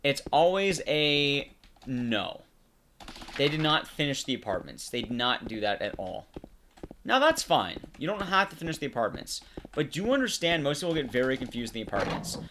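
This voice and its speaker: A man commentates on a game as he plays, talking casually and explaining to his audience. His voice is bright and very lively in pitch, over faint background noise with some clicks. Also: normal speed. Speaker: streamer and commentator. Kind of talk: casual live gameplay commentary.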